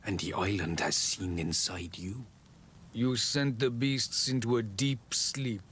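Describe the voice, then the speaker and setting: An actor playing a wise, solemn elder of an island tribe in a fantasy game, speaking in a measured, ceremonial way. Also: male voice, on the low side, a bit bright, a little hiss or hum. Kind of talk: fantasy video game cutscene acting.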